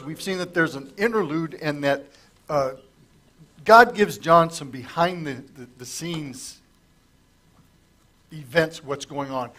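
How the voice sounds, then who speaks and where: A man's voice: on the high side, fairly bright tone. Man preaching with a fading voice. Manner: conversational, asks the congregation questions. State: losing his voice, coughing. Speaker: pastor. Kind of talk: sermon, verse-by-verse Bible teaching. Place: church sanctuary with stage.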